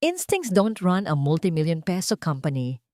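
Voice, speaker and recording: A woman's voice: fairly low pitch, sing-song, moderate pace, no room sound. Lady doing an angry older board exec guy in a story, scolding and demanding, dry close sound, mixes english and tagalog. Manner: forceful, scolding, switching between English and Tagalog.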